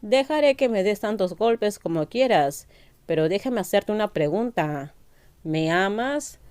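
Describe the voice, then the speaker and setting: A woman's voice: mid-range voice, lively intonation, measured pace, darkish tone, smooth, dry recording, close to an everyday voice. A woman voicing a confident, persistent man in love in a narrated romance story. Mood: tender, earnest.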